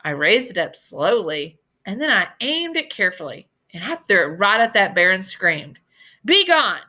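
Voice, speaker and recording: Woman voicing a bright, dramatic old lady librarian. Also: medium pitch, very animated. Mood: playful, storytelling. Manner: dramatic, deliberate storyteller with emphatic repetitions. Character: sad, sighing, genteel old Southern lady librarian telling tales. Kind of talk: storybook character voice in a read-aloud.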